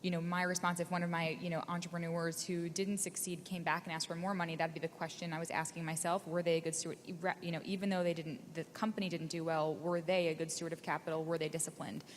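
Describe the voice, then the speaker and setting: A woman investor on a panel speaking in a measured, earnest way. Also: fairly low pitch, level tone, a little hiss or hum. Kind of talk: panel discussion. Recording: reverberant hall with faint ambience.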